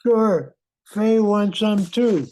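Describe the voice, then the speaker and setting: A man playing a gruff but good-souled Cajun shrimp boat captain in an amateur radio play. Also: high-pitched, drawn-out words, darkish tone. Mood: friendly.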